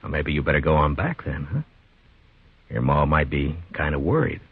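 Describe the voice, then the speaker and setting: An actor playing a steady, weary American frontier marshal, firm, patient and dry, in a 1950s radio western. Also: male voice, a bass voice, normal speed, slightly rough, full character voice. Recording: dull, muffled 1950s radio recording.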